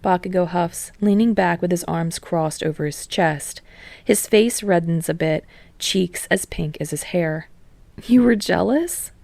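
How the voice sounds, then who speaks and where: A woman's voice: fairly low pitch, fairly even intonation, unhurried, creaky, a little mellow, no room sound. A woman reading scripted fiction expressively as an audiobook narrator.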